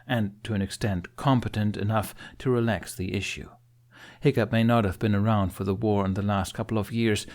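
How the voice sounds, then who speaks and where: A man narrates a fantasy audiobook in a fairly low voice. His delivery is scripted storytelling, read as prose. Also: a little mellow.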